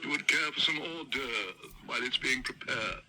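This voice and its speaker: Man playing a pompous head waiter in a storybook, speaking in slow, stiffly formal tones. The voice is bright and swings widely in pitch. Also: expressive, a little hiss or hum, low-quality recording. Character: pompous male head waiter of a grand restaurant.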